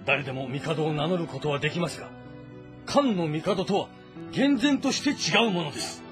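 An actor playing a composed, calculating and loyal strategist in a historical game drama, speaking formally and deliberately. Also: male voice, on the high side, animated, over music. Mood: earnest, persuasive. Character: composed, calculating, loyal male strategist advising his lord.

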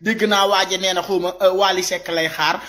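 A man in his thirties with a Senegalese accent giving impassioned, informal video commentary, animated and repeating his points as he exhorts listeners. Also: high-pitched, moderate pace. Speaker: social media commentator. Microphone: phone at arm's length.

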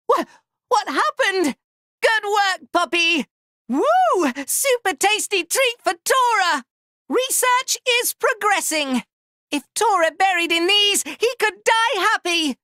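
A man voicing a small, excitable, proud furry inventor creature for a video game, squeaky and cartoonish, talking in childlike broken grammar and in the third person. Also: dry recording, studio quality, exaggerated voice. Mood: cheerful. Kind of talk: video game character voice lines.

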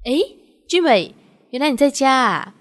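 A woman's voice: high voice, big pitch swings, normal speed, fairly bright tone. A young woman acting in a radio drama as a sweet, devoted girlfriend who is easily wounded. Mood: chirpy, casual. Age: young adult.

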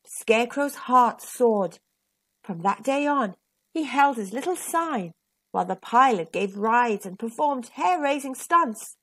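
A woman reading a children's picture book aloud with expressive narration as a storytime host. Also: on the high side, measured pace, husky.

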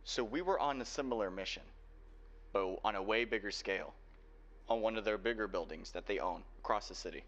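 A young man playing a guarded, protective cyberpunk hacker boyfriend in an ASMR roleplay, speaking earnestly and haltingly. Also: fairly low pitch, a bit bright. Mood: guarded, grave. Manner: earnest, halting delivery with sighs. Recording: dull lo-fi home recording, faint noise.

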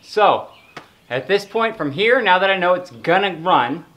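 A man's voice: high-pitched, animated, measured pace, a bit bright. A man in his thirties narrating casually to camera while working on an old machine in a barn, muttering to himself as he goes. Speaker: restoration vlogger. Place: open-sided metal barn.

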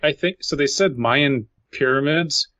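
A man's voice: medium pitch, measured pace, fairly bright tone, no room sound. A man co-hosting a podcast, reading aloud and commenting casually. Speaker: podcast co-host. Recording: dull lo-fi dry podcast audio.

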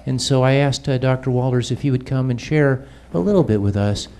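A middle-aged man, a pastor, introducing a guest speaker in a church hall, unhurried and pausing with fillers, holding a handheld mic. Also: mid-range voice, a little mellow, slight background noise.

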